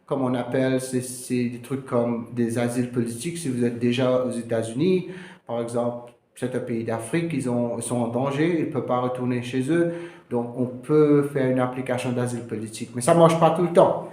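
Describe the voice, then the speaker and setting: A man from Mauritius, a lawyer explaining to camera in a halting way, full of fillers and English terms. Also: medium pitch, steady tone, darkish tone, reverberant. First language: Mauritian Creole. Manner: hesitant, many fillers, slips into English terms. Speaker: immigration lawyer.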